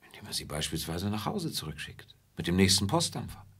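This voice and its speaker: An actor playing a reserved, calm European gentleman in an intimate radio drama, husky and matter-of-fact. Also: sits low, a little fast, heavily acted. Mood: restrained.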